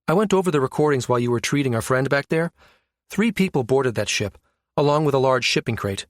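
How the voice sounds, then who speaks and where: A man voicing a brisk, sardonic, exasperated woman space operative with informal, slangy speech in a science fiction audiobook. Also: medium pitch, animated, no room sound, studio quality, voice pushed up, natural voice. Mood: explaining.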